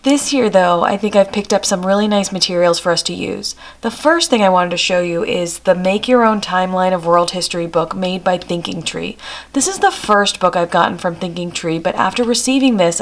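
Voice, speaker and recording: A homeschooling mother with a hoarse voice from a cold, chatting through a friendly show-and-tell review on her vlog. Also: on the low side. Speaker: homeschool vlogger. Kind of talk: casual product review.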